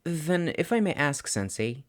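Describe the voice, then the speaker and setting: A man's voice: a little high, very animated, a little slow, voice pushed up. A man voicing an anxious, eager teenage schoolboy, hesitant and stammering, in an audiobook-style fan fiction reading.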